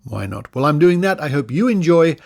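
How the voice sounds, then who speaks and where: A man's voice: on the high side, sing-song, a little fast, gravelly. An Australian man hosting a podcast, introducing segments and interviewing in a chatty, informal way. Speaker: podcast host.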